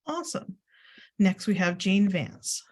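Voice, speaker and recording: A woman moderating a panel discussion. She has a middle-pitched voice. She greets each guest with upbeat acknowledgements. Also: lively intonation, darkish tone. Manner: upbeat, quick spoken acknowledgements between guests.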